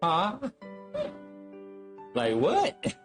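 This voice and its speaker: A man's voice: a little high, huge pitch swings, very slow, soft background music. A middle-aged man chatting casually and wryly as he streams a game, rambling along. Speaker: gaming streamer. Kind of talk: casual chat over gameplay.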